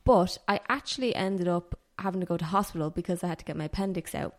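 A woman's voice: lowish voice, fast. A woman, a podcast guest chatting casually and rambling a little as she corrects herself. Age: 20s. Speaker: podcast guest, personal trainer. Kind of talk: casual chat.

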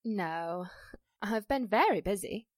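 A woman playing a famous, high-strung Broadway actress in a theatrical 1940s radio drama style. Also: animated, slow, dry recording, studio quality. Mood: polite, gracious.

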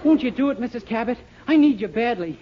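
A man's voice: extremely high pitch, expressive, fast talker, a faint crowd. An actor playing a timid, naive and eager young man in 1940s radio sitcom style, earnest and folksy. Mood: pleading. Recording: muffled, noisy 1940s radio recording.

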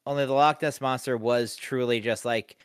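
A man co-hosting a podcast in casual chat, dry and halting with many restarts. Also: mid-range voice, fairly even intonation, smooth, no echo. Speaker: podcast co-host.

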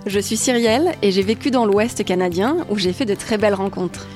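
A woman from France co-hosting a travel podcast in a bright, upbeat way. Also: mid-range voice, brisk, soft background music. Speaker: podcast co-host. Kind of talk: scripted travel podcast talk.

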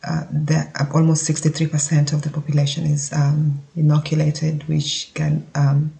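A man with a Kenyan accent explaining things informally as a solo podcast host, haltingly, as if thinking aloud. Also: on the high side, completely flat delivery, gravelly, dark tone.

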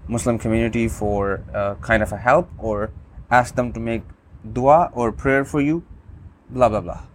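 A man whose first language is Urdu, calmly demonstrating a phone app, with many fillers and restarts. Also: medium pitch, unhurried, a little hiss or hum. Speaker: app reviewer.

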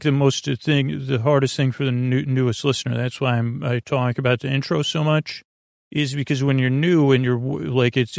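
A middle-aged man with a gravelly voice hosting a bedtime podcast, talking in a halting, meandering monologue that keeps interrupting itself. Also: medium pitch, no room sound, studio quality. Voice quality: gravelly, creaky. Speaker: podcast host.

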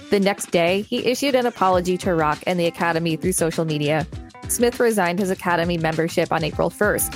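A woman co-hosting a podcast, reading an article aloud with casual commentary. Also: on the low side. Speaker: podcast co-host.

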